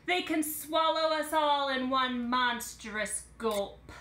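Storybook cookie, female, clever and alarmist, played by a woman reader: high, bright, emphatic warnings, roomy home recording. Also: takes it slowly, slight background noise, heavily acted. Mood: alarmed warning.

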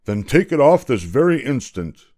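A man's voice: fairly low pitch, measured pace, vocal fry. A man voicing an imperious, hot-tempered king from a storybook in a pompous, demanding manner. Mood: commanding, impatient. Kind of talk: storybook character voice.

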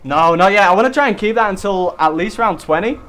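A young man hosting a casual co-op zombie game session, excitable and chatty, bursting into loud hype over his weapon. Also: sits high, brisk. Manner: excitable, hypes gun, swears mildly. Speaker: gaming channel host. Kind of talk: casual co-op gameplay chat.

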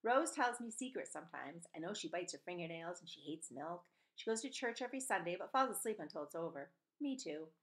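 A woman's voice: fairly low pitch, a little fast, hardly any pauses. A woman in her forties reading a novel aloud expressively, with the odd stumble, into a computer mic in a room at home. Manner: animated, with stumbles and self-corrections. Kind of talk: expressive storybook read-aloud. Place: small room at home, indoors. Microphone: computer webcam mic at desk distance.

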